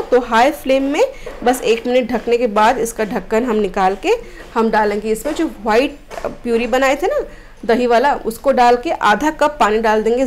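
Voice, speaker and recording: A woman's voice: on the high side, darkish tone. A woman from Odisha, India, narrating a home cooking recipe step by step in a friendly instructional way as the host of a cooking channel. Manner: friendly step-by-step instructions, mixing English words.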